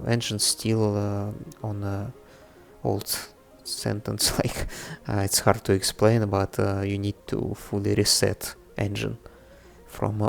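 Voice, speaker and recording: A native Russian-speaking man with a Russian accent presents a casual coding tutorial, thinking aloud in a halting way. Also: on the low side, gravelly. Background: background music, typing on keyboard.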